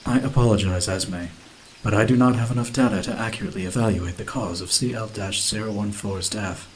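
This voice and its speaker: A man playing a polite, emotionless space station computer in an audio drama, formal and measured. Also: on the low side, a little hiss or hum, natural voice, poor audio. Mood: impassive, polite. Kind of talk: audio drama acting, formal system announcements. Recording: faint background ambience.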